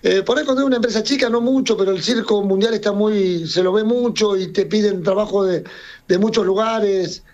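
Rambling middle-aged man, high voice. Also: brisk, a little mellow. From Serbia. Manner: rambling with frequent restarts and repeated words. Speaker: circus owner. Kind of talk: casual interview chat. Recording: dull lo-fi sound.

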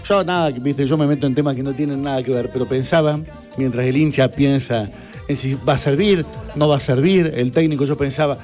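A man hosting a casual studio chat show, chatty and animated, reading out viewer messages. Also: mid-range voice, brisk, soft background music. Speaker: show host.

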